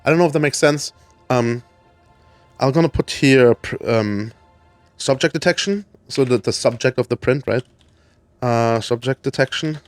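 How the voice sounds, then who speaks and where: A man from Germany, in his thirties, hosting a let's play and explaining his build out loud, his voice raspy from a cold, with plenty of ums and restarts as he thinks. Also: mid-range voice, moderate pace, pauses often, no echo, faint music behind. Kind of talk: casual gameplay walkthrough.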